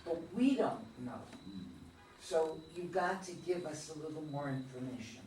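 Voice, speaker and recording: A man's voice: a little high, lively intonation, normal speed, a little mellow, a little hiss or hum. A man giving blunt feedback in a group discussion after a play reading, listing the questions the play leaves open. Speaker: audience member giving feedback. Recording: echoey room, from far off.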